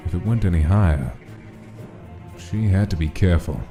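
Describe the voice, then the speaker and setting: A man reading scripted story narration as the narrator, with soft music behind him. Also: sits low, moderate pace.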